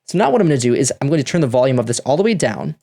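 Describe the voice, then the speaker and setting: A man's voice: medium pitch, very animated, rapid-fire, mellow. A man hosting a music production tutorial, talking through the steps in a casual, instructional way.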